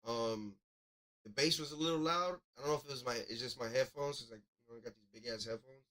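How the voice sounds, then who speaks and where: A man with a mid-pitched voice hosts a music review. He talks casually and thinks aloud, circling back over his words and leaning on catchphrases as he gives critique. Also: fast talker, fairly bright tone, rough recording. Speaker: music review show host. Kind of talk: casual live commentary and critique.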